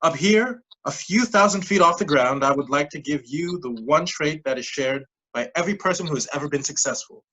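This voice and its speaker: A man's voice: a little high, lively intonation, a little fast, few pauses, pushed and bright, dull audio. An actor in a staged play reading, playing an officious, upbeat male leader who addresses his group like a pilot making formal, firm announcements. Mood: ceremonious.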